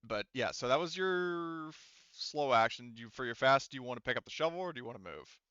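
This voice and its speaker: A man's voice: medium pitch, animated, brisk, sharp tone, smooth, no room sound, highs cut off. A man running a tabletop game as game master, narrating casually with swearing and jokes. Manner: sweary, joking.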